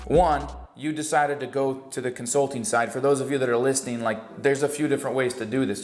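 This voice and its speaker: A man's voice: medium pitch, echoey room. A man hosting an online interview in a casual, upbeat and joking manner. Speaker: host.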